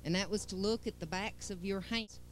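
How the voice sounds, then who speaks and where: A woman's voice: medium pitch, a little fast, a little hiss or hum, low-quality recording. Playful woman storyteller. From Western North Carolina. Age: 40s. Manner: playful, addresses listeners directly. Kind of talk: folksy talk to an audience.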